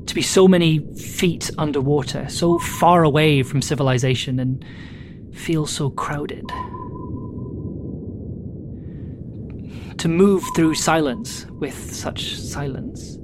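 A performer playing a weary, haunted submariner in a halting, reflective audio drama monologue. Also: medium pitch, noisy background, natural voice. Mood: brooding, haunted. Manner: halting, reflective, deliberate pauses. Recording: music under the voice. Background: music.

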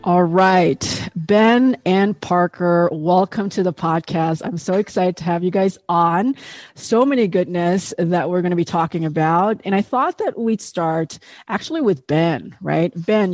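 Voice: medium pitch, a little mellow, dry recording. A podcast host giving an upbeat, enthusiastic introduction of the guests, full of fillers and "right?". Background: background music.

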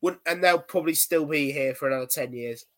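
Young male co-host, slightly high voice, rambling football chat full of restarts. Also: fast. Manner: rambling, repeats words and restarts often. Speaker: podcast co-host. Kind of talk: casual football podcast chat.

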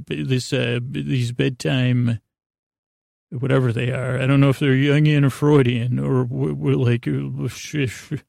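A man hosting a sleep podcast with a rambling, halting improvised monologue, often stammering, interrupting himself and arguing with himself in a self-deprecating way. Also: medium pitch, gravelly, darkish tone, no room sound, studio quality. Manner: self-deprecating, acting out inner dialogue. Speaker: podcast host.

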